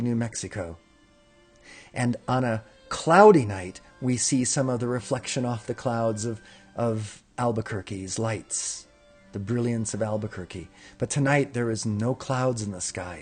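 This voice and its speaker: A man hosting a spiritual broadcast, speaking in a calm, reflective way and leading chanted affirmations. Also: mid-range voice, unhurried, slightly rough, faint music behind. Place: indoor room with windows in the mountains.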